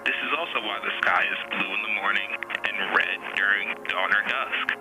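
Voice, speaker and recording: Low-voiced man acting as educational narrator over music. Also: moderate pace, natural voice, rough recording. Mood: explanatory. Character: male narrator of an educational astronomy programme.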